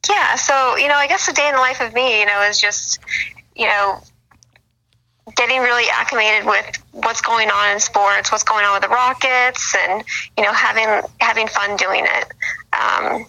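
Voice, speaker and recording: Bright, chatty young woman with gravelly fry. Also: a little high, steady tone, moderate pace, rough recording. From Boston, USA. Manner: chatty, many fillers and restarts. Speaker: sports blogger guest. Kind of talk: casual interview on a phone line.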